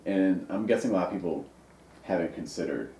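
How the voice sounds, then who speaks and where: Really low mellow guy hosting, lots of "like" and "you know", roomy echo. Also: a little fast, slight background noise. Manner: loose, leans on "like" and "you know". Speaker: host. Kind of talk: casual interview chat.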